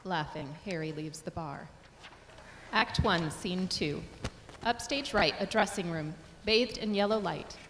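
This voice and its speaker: A woman's voice: lowish voice, unhurried, fairly bright tone. A woman reading stage directions as scripted narration for a staged play. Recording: echoey hall, faint noise, clicks.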